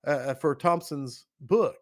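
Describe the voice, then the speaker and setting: A man's voice: on the high side, a little slow. A man hosting a casual podcast interview, enthusiastic and playful, laughing often. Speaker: podcast host.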